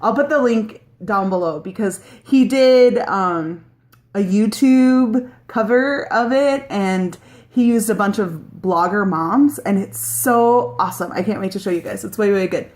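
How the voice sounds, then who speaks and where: A woman's voice: mid-range voice, measured pace, darkish tone. Late-thirties woman, casual vlogger, rambly and easygoing. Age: 30s. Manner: chatty, rambling, many ums and likes, laughs easily. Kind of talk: casual chat answering a tag video.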